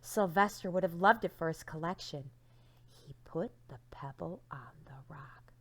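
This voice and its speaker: A woman teacher reading a storybook aloud to young children, dramatic and expressive, with hushed phrases and questions to listeners. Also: lowish voice, a little slow, slightly rough.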